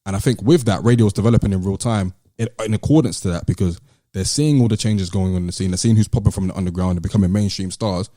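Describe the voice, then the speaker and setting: A British man co-hosting a podcast, talking in a casual, measured and articulate way with some slang. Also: lowish voice, warm tone, no room sound, clean studio sound. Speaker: podcast host. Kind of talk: casual discussion and commentary.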